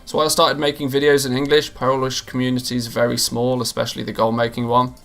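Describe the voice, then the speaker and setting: A man's voice: mid-range voice, monotone, fast talker, a bit bright, soft background music. A man streaming live and chatting casually with his viewers, rambling with many restarts and fillers.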